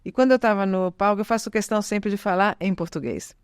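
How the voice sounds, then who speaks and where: A woman's voice: medium pitch, fast, smooth, no room sound, clean studio sound. An Italian-born middle-aged woman whose first language is Italian, chatting in a casual podcast interview, rambling and correcting herself often. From Italy. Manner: rambling, self-correcting, frequent "né?". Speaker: podcast guest.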